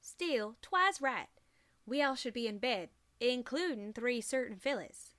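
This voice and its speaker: A plain-spoken farm mare, voiced by a woman for a cartoon audio drama. Her high voice moves up and down a lot, folksy and kindly but firm. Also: expressive, measured pace, fairly bright tone. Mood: folksy firmness. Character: down-to-earth, kindly, no-nonsense country farm mare.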